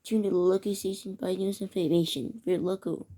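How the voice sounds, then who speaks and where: A woman's voice: mid-range voice, flat delivery, dark tone. A woman reading a homemade emergency alert broadcast, stumbling and restarting often. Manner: halting, stumbling over words. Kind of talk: amateur mock emergency broadcast narration.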